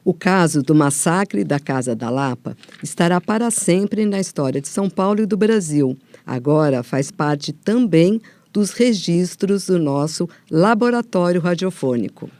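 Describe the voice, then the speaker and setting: A Brazilian woman presents radio from a script. Her voice is mellow and dark, recorded very dry. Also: lowish voice, animated, a little slow, few pauses, dry recording. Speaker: programme host.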